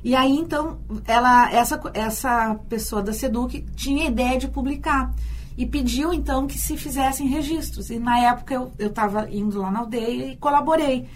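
A woman's voice: high-pitched, normal speed, a little hiss or hum. A Brazilian woman, a university teacher and storyteller, answering in a radio interview, thoughtful and often repeating words as she thinks.

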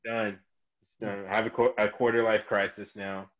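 A man's voice: on the low side, normal speed, highs cut off. A man in his twenties telling a story in casual chat, acting out people's reactions as he goes. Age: 20s. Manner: animated storyteller who acts out reactions. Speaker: gym coach and guest.

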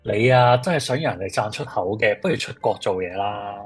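An easygoing man giving blunt, teasing advice as an old friend in a radio drama. His voice is a little low and rough, with a Hong Kong Cantonese accent. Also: brisk, soft background music.

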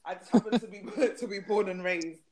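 Brief: young South London woman, podcast guest, blunt and animated storyteller. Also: medium pitch, moderate pace, crowd noise, low-quality recording. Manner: frank, swearing, laughs a lot. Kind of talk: casual chat.

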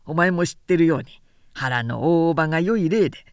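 A middle-aged samurai elder brother, acted by a woman reader, talks in a lowered, swooping voice. He is pompous and long-winded, lecturing with great self-importance in a storybook tale. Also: lively intonation, a little fast, clear, resonant tone, no room sound, studio quality. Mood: chatty, self-satisfied.

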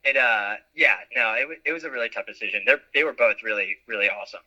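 A man talks as an interview guest with a very bright, sharp-edged tone. He rambles warmly through fillers and restarts and often laughs at his own expense. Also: medium pitch, quick pace, rough recording. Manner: animated, laughs at himself, lots of fillers. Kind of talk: casual interview chat.

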